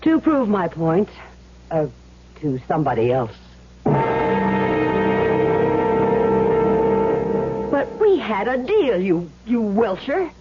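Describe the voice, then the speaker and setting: A woman's voice: mid-range voice, big pitch swings, a little slow, loud music behind the voice. An actress playing a tough but good-hearted young woman gambler in an earnest, emphatic, slangy way for a 1970s radio drama. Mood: defiant. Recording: muffled, hissy old radio recording with hum.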